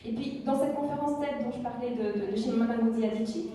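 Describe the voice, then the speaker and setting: A woman with a high, mellow voice gives a talk at a conference, her words thoughtful and broken by restarts. She is picked up from far off in a hall with a long, ringing reverb. Her first language is Kinyarwanda. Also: fast talker. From Rwanda. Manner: thoughtful, with frequent restarts and hesitations. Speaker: conference speaker, author.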